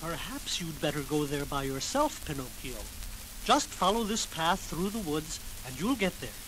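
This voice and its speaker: A man's voice: fairly high pitch, sing-song, moderate pace, fairly bright tone, slight background noise, rough recording. A man playing a sly fox who poses as a kind friend, wheedling and theatrical, on an old children's record. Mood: sly coaxing. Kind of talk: 1940s children's record acting. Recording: old 78 rpm record with music.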